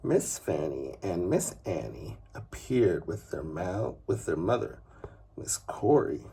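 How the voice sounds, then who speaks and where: A man reading a children's story aloud in an expressive, unhurried way with dramatic pauses and a husky, gravelly voice. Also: deep voice, slight background noise. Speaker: father reading a bedtime story.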